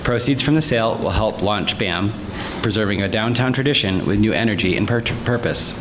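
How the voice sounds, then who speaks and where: A man from Vermont reads a prepared statement at a podium, his tone upbeat and promotional. His nose is stuffed up and sniffly, and his voice sits a little low. Clear background noise hangs under the meeting recording. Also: a little fast. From Vermont, USA. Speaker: public commenter. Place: town meeting room. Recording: noisy local-access meeting recording.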